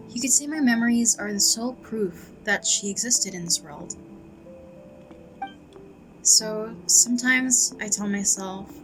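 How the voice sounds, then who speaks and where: A woman playing a gentle, earnest, thoughtful young character in a video game. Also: mid-range voice, lightly acted, low-quality recording. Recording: game music behind the voice.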